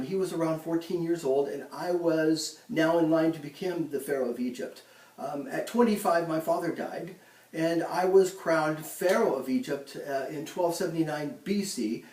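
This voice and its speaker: A man plays a proud ancient pharaoh who boasts in a halting way, with many ums and restarts. The voice sits fairly high for a man. It sounds roomy and a little far from the mic. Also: a little mellow, lightly acted. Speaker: guest in a mock interview. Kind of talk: amateur school-style role-play interview. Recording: echoey room, voice from a distance.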